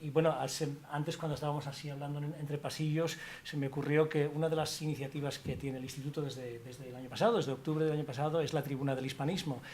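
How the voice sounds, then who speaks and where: A man's voice: on the high side, fairly even intonation, fast, few pauses, darkish tone, slight background noise. A middle-aged man, academic director of an institute, speaking on a panel, rambling with many restarts. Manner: rambling, many restarts and fillers, informal asides. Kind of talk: panel talk at a colloquium.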